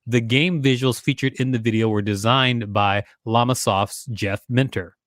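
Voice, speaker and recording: A man co-hosting a podcast, reading an encyclopedia article aloud in a plain, even way. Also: medium pitch, normal speed, dry recording, clean studio sound.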